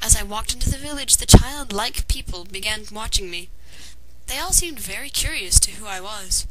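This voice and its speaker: A woman's voice: mid-range voice, lively intonation, moderate pace. A woman reading a fan fiction story aloud as narrator, in a dramatized way. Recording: noisy amateur home recording.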